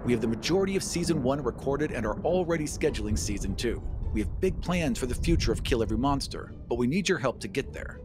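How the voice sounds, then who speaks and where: A man with a medium-pitched voice talks as a podcast co-host and tabletop player. He banters playfully and improvises his story. Faint music sits behind him. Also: animated, fast, few pauses.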